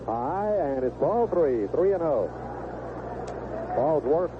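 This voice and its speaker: A man's voice: on the high side, big pitch swings, slow. Man calling baseball on noisy old radio. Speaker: baseball play-by-play announcer. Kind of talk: live radio sports commentary, 1970s. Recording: noisy, narrow-band vintage AM radio broadcast.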